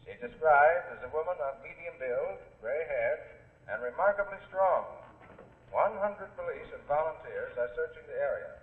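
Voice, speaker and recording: A man's voice: on the high side, animated, normal speed. Echoey grave radio newsman. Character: grave male radio news announcer. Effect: car radio. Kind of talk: 1940s radio news bulletin reading. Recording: echoey, muffled vintage radio with noise.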